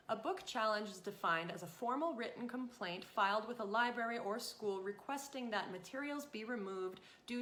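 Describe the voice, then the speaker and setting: Bright-voiced woman presenter in a roomy space. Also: mid-range voice, slight background noise. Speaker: host and presenter. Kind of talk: scripted introduction to a virtual reading program.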